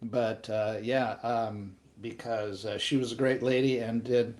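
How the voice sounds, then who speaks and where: A man's voice: mid-range voice, flat delivery, unhurried, a little mellow. A man speaking as a podcast panelist, musing hesitantly and trailing off as he thinks aloud. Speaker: panelist, pilot. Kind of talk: casual panel chat.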